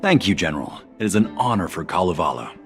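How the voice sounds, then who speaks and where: An actor playing a polite, slightly awkward delegate in a video game, formal, courteous and a little hesitant. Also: male voice, low-pitched, big pitch swings, fast, soft background music. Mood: grateful, formal.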